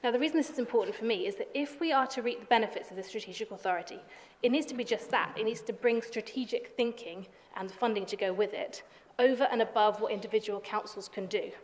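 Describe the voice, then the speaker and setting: A woman councillor making a measured, argumentative case in a council debate, with a few restarts. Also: a little high. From England. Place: council chamber. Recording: chamber with long reverb.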